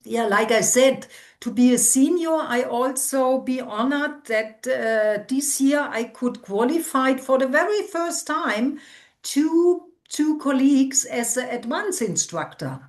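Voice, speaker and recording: A middle-aged woman with a loud voice, giving an interview as a senior instructor and sometimes searching for words in English. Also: sits high, animated, slow. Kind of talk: conversational interview.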